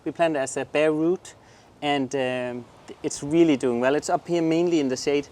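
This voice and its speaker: A man's voice: on the high side, steady tone, moderate pace, darkish tone, a little hiss or hum. A man from southern Denmark with a Danish accent, hosting a casual garden tour outdoors and exclaiming eagerly over the plants. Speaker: garden show host.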